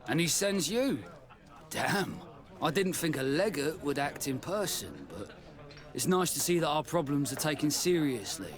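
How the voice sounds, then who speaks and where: An actor voicing a plain-spoken, exasperated tavern keeper in a video game, expressive and grumbling. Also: male voice, fairly high pitch, moderate pace, a crowd in the background, lightly acted.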